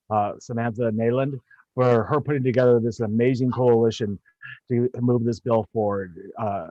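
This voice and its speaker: A man's voice: mid-range voice, a little mellow, no room sound. A man giving short, upbeat testimony for an advocacy group at a hearing. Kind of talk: brief supportive testimony. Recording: dry, dull lo-fi audio.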